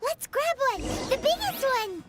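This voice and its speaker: A tiny, excitable fairy companion from a video game, squeaky and extremely high. Her childish delivery swings wildly up and down as she exclaims and schemes greedily. She takes her time over words, and there is clear noise in the recording. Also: measured pace, exaggerated voice. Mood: greedy excitement. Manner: speaks of self in third person, exclaims often. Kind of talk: video game character acting.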